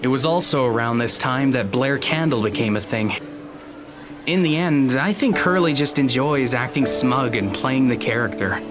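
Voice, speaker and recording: Man acting teenage boy, audio drama, awkward, polite, hesitant stammer, mid-pitched, music behind. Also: moderate pace, lightly acted. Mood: explanatory, apologetic. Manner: hesitant, stammering, nervous chuckles. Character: awkward, polite teenage high school boy, eager friend.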